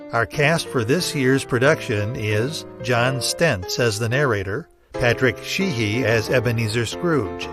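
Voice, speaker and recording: A man announcing for a radio play in a scripted style, with music behind him. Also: mid-range voice.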